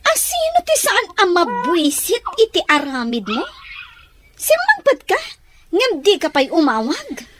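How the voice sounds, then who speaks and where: A high, very animated voice from a woman acting as a jealous, wronged woman in an audio drama. She is angry and accusing, breaking into sharp outbursts as her pitch leaps about. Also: unhurried, a little hiss or hum, exaggerated voice. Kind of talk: dramatized Ilocano audio story.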